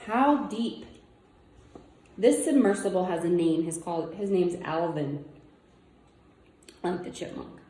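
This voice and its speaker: A woman's voice: on the low side, slow, pauses often, dark tone. A woman reading a children's nonfiction book aloud, using simple child-directed phrasing and wondering aloud in casual asides. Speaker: read-aloud presenter. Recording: roomy, echoing indoor sound.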